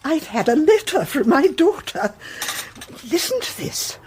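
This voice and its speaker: An actress playing a kindly, stoical elderly British woman in a radio play, motherly and fussing, with a breathy voice. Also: sits high, very animated, measured pace, a little hiss or hum. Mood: chatty, pleased. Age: older. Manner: motherly, chatty, gently fussing. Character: kindly, fussing elderly widowed-grandmother type neighbour, stoical.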